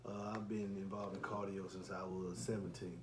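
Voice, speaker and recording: A man's voice: low-pitched, little pitch movement, normal speed. A man in his forties talking casually in a rambling, self-correcting way, as a reviewer filming an unboxing video. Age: 40s. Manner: rambling, often repeats and corrects himself. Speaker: car audio reviewer and enclosure builder. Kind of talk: casual unboxing vlog talk. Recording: echoey room with faint background noise.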